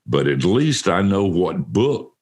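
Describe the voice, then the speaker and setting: An older man with a deep voice hosting a casual interview, joking and laughing at himself. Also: sing-song, slow pace, a little mellow. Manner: joking, self-mocking, laughs often. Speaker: radio show host.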